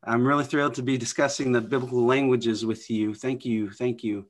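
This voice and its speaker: A man's voice: medium pitch, fairly even intonation, a little fast. A man hosting an interview podcast, upbeat and affirming, introducing guests and asking questions. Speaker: podcast host.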